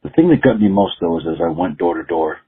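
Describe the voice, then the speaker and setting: Husky deep Rhode Island man. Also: expressive, fast talker. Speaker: listener sending a voice recording. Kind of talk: casual personal anecdote. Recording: muffled phone-like recording.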